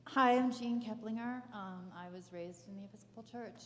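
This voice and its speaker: A woman's voice: medium pitch, moderate pace, a little hiss or hum. A woman introducing herself in a class, good-humored and a little flustered. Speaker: class participant. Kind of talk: casual self-introduction. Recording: long church-hall reverb.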